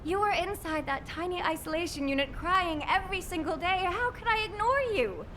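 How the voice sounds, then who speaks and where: A woman acting as a caring, protective scientist in a video game, earnest and emotionally expressive. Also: fairly high pitch, moderate pace, slight background noise, natural voice. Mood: hurt, pleading.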